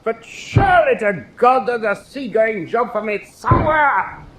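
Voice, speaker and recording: A man's voice: extremely high pitch, animated, measured pace, noisy background. A man playing a boastful, stubborn middle-aged British naval officer in a radio drama, speaking pompously. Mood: desperate. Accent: British English. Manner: pompous, bragging.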